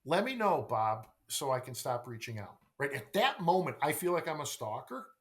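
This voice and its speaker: A man's voice: mid-range voice, big pitch swings, moderate pace, fairly bright tone. Middle aged guy on a podcast, really swingy voice, punches points, repeats himself, keeps asking right?. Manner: emphatic, repeats phrases, rhetorical questions and tag "right?". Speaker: sales coach, podcast guest. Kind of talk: conversational podcast interview.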